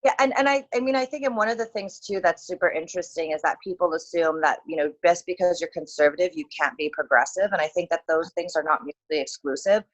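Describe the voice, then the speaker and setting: Low-voiced Saudi woman on a video call. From Saudi Arabia. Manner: animated, laughs often, talks in quick bursts with many fillers. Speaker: interview guest, author and consultancy founder. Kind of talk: casual video-call interview.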